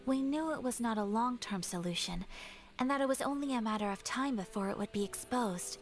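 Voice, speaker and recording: A woman voicing a composed, courteous young noblewoman in a video game, speaking in a formal, measured way. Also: on the high side, soft background music, close to an everyday voice. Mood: calm.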